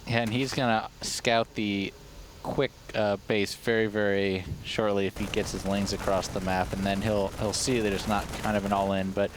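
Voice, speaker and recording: A man's voice: lowish voice, little pitch movement, moderate pace, noisy background. A man commentating a video game match live, enthusiastic and bantering with his co-caster. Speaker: esports caster.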